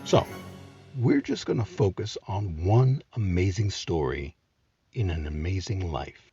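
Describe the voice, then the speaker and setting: A man's voice: low-pitched, very animated, a little slow, lo-fi sound, music in the background. A man narrating a scripted history story with emphatic, dramatic phrasing, as the host of the show.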